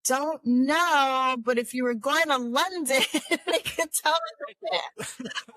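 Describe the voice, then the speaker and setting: A woman's voice: high voice, slow, a bit bright. A middle-aged woman from New York, a guest in a casual interview, rambling with frequent restarts and laughs. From New York, United States.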